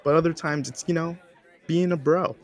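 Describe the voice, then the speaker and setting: A man's voice: a little high, steady tone, normal speed. A young man chatting easily as a podcast co-host. He is laid-back, swears freely and laughs a lot. A faint crowd murmur sits in the background. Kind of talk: casual chat.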